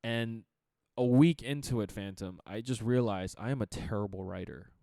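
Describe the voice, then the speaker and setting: Young guy co-hosting a chill podcast, deep radio voice, smooth, laughs a lot. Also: moderate pace, dry recording, studio quality. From Chicago area. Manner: animated, laughs often, talks in bursts with restarts. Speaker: podcast co-host. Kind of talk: casual podcast chat.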